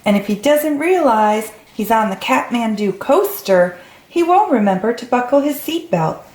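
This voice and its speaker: High-voiced woman reading a children's story. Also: animated, moderate pace, a little mellow. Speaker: storybook reader. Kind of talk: read-aloud story for young children. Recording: roomy, echoey recording.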